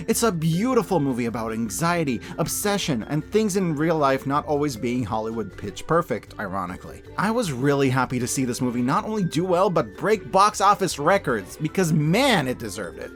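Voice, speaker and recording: Male review host, higher-pitched and very lively, emphatic and playful, music underneath. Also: normal speed, few pauses. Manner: emphatic, playful, colloquial, with dramatic repeats for effect. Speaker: host of a yearly media review show. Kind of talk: scripted review commentary.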